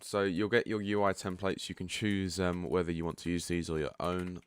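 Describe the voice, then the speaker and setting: Man, developer type, deep croaky morning voice, easygoing tutorial delivery, dry close sound. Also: moderate pace, no room sound. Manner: relaxed and chatty, frank asides. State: croaky, just woken up. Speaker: product developer giving a setup tutorial. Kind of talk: casual screen-share walkthrough.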